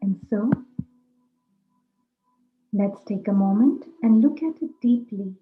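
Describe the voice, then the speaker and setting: A woman teaches guided meditation at a very slow pace, in short, measured phrases. Her voice carries a roomy echo, and music plays behind her. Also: mid-range voice, phone-quality sound.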